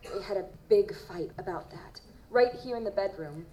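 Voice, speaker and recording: A gentle, earnest psychic, played by a woman, speaks with a soft-edged, mellow tone. Her delivery is hesitant and solemn, as in audio drama, with some background noise around her. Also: medium pitch, moderate pace, close to an everyday voice, low-quality recording. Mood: somber, thoughtful. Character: earnest, gentle female psychic who reads ghostly impressions. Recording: live performance with background noise.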